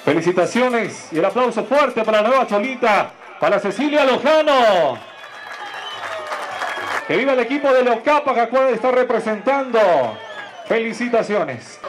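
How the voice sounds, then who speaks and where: A man's voice: very high voice, animated, normal speed, long pauses, a faint crowd, a little hiss or hum. A man with an Ecuadorian accent announcing a ceremony as its host. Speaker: master of ceremonies. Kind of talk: event announcing. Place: outdoor sports ground.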